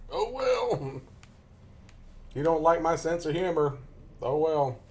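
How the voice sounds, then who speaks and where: A man with a Tennessee Southern accent, chatting casually and jokingly with viewers during a game live stream. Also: on the high side. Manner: joking, rambling, chuckles often.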